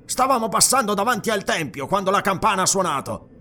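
A male reader puts on a commanding, haughty police officer for a fantasy audiobook. The voice sits high and swings widely. He is clipped, impatient and demanding. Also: expressive, fast, sharp tone, exaggerated voice. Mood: official. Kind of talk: dramatized fantasy audiobook dialogue.

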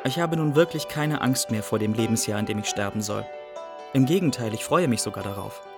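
Sad young refugee guy telling his life story, measured radio play narration, music under it. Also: lowish voice, lively intonation, gravelly. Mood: resigned, wistful. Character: melancholy young Iraqi refugee recounting his life in Germany. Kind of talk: literary radio drama monologue.

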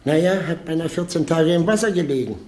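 An actor playing a matter-of-fact, officious morgue official in a German radio play. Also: male voice, on the high side, expressive, quick pace, darkish tone, reverberant, lightly acted. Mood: businesslike.

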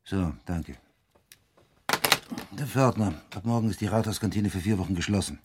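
An actor playing a rural police detective in a German radio drama, by turns dryly flirtatious and businesslike. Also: male voice, deep voice, moderate pace, heavy background noise, natural voice. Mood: businesslike, pondering. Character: flirtatious rural police detective, brusque boss, narrator of the tale.

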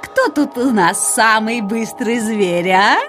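A woman playing a kindly, sly auntie tortoise in a children's audio play, gently teasing and unhurried. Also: a little high, animated, over music. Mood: slyly triumphant.

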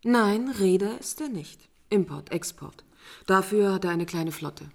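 A woman's voice: mid-range voice, animated, unhurried, creaky. An actress playing a proud, defiant married woman under suspicion in a radio crime drama, guarded and curt in manner.

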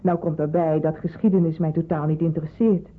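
A woman's voice: low-pitched, fairly even intonation, brisk. An actress in a Dutch radio drama playing a down-to-earth woman with no taste for philosophy, speaking in a blunt, offhand way. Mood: blunt, uninterested. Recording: muffled, phone-like radio play recording.

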